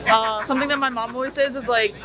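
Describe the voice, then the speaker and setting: Fast-talking passerby, flat intonation, busy street. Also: medium pitch. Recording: street crowd noise.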